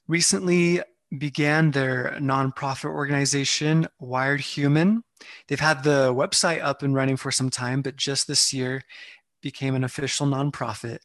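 A man's voice: medium pitch, a bit bright, no echo. A man co-hosting a podcast, reading announcements and introducing guests in an upbeat, polite way. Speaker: podcast co-host.

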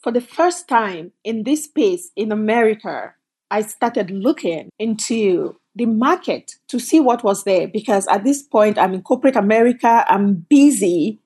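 A middle-aged woman from Cameroon, a company founder telling her story as a guest in an interview, lively and quick in bursts. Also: medium pitch, slightly rough. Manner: animated, storytelling, quick bursts, laughs often. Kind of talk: conversational interview.

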